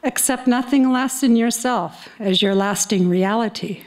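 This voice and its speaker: An older woman reading scripture and commentary aloud in a solemn, deliberate manner at a church service. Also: on the high side, darkish tone. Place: indoor chapel. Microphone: stand microphone close to the mouth.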